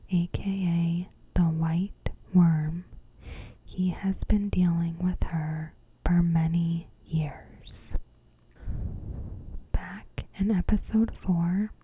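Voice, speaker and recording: A woman softly whispering a scripted recap in a gentle, measured, breathy ASMR style. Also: lowish voice, rough recording. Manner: gentle, measured, careful phrasing. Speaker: ASMR host.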